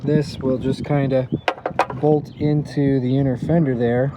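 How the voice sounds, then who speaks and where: A man's voice: medium pitch, level tone, measured pace, very noisy. A man giving a casual hands-on tutorial, thinking aloud informally as he works as a DIY repair host.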